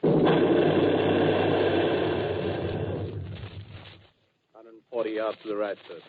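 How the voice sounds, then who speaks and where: Actor as low-voiced army spotter, tinny radio. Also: male voice, level tone, quick pace, natural voice. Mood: tense, dutiful. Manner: terse, subdued, deferential. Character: male army artillery spotter, dutifully reporting to his officer. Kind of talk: 1930s radio drama acting. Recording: thin old radio, faint noise.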